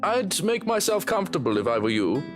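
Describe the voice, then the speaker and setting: A man's voice: sits high, big pitch swings, brisk. An actor playing a dry, haughty male butler in a visual novel game, speaking with sarcasm. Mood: snide. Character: snide, dry male butler and personal assistant. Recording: music playing behind the voice.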